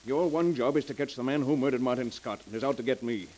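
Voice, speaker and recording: Man acting as authoritative businessman, anxious, brusque, emphatic, mid-pitched, vintage radio drama, faint crackle. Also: fast, darkish tone, natural voice. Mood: brusque, commanding. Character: anxious, commanding wealthy businessman fearing murder. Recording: hissy crackling 1940s radio recording.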